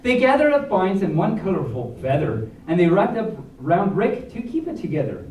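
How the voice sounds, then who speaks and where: A man's voice: high-pitched, sing-song, moderate pace, a little mellow. A man reading a storybook aloud to a live audience in a playful, theatrical way, with chatty asides. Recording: roomy, distant mic, faint background noise.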